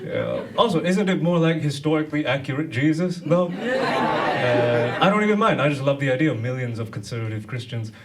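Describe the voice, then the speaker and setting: A man from Sri Lanka doing a dry, deadpan stand-up set from a chair on a nightclub stage, with many hesitant uhs. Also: on the high side, brisk, far from the mic, loud music behind the voice. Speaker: stand-up comedian. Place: nightclub stage, seated, before a laughing audience. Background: audience laughter.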